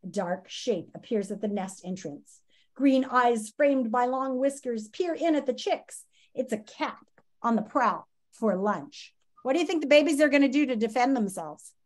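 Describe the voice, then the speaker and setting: A woman's voice: a little high, lively intonation, moderate pace. A woman reads a children's nonfiction book aloud like a teacher, expressively and dramatically, with asides and questions to her listeners. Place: small room at home.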